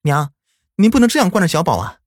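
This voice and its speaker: A man voicing an angry mother who scolds loudly and sharply in a storybook tale. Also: high voice, sing-song, quick pace, no room sound, clean studio sound, pitched above the performer's own voice. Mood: pleading. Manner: loud, scolding.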